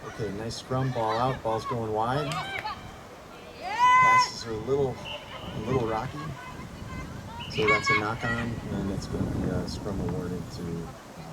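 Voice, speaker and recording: Low, lively American man commentating rugby. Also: a little slow, pauses often. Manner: explanatory, casual, frequent fillers. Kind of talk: rugby commentary explaining rules. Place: outdoor sports field. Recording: outdoor with loud wind on the mic.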